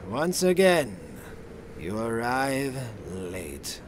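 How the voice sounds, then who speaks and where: An actor playing a grave, weary old master in a fantasy game, sounding weakened but urgent. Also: male voice, medium pitch, very animated, very slow, slight background noise. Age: older. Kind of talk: dramatic fantasy game voice acting.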